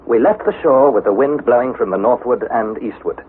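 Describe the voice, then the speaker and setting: An actor playing an earnest young nephew, narrating an expedition with measured, dramatic storytelling in a 1960s radio drama style. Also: male voice, mid-range voice, natural voice. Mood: solemn narration. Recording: narrow-band, slightly noisy vintage radio recording.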